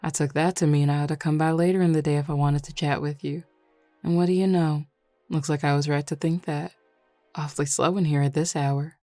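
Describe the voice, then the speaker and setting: Flirty male Southern werewolf bar patron played by a woman: low voice, playful drawl, dry close sound. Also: fairly even intonation, mellow, dry recording, soft background music, lightly acted. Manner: drawling, playful, unhurried teasing. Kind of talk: scripted audio roleplay.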